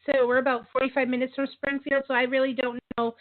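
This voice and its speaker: Female interview host, western Massachusetts accent, high voice, phone-quality sound. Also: level tone, fast. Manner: chuckling, encouraging interviewer. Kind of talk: casual interview chat. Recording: tinny phone line.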